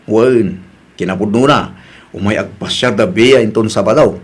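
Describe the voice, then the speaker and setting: A man's voice: lowish voice, normal speed, slightly rough. A man playing a preachy, peacemaking husband and son in an Ilocano family comedy drama. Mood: calm, concerned.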